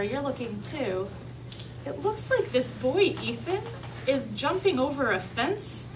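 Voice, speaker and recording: A woman teaching young children through an interactive read-aloud, coaxing them with simple instructions and questions. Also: high-pitched. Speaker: teacher reading aloud to kindergarteners. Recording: distant mic, noisy room.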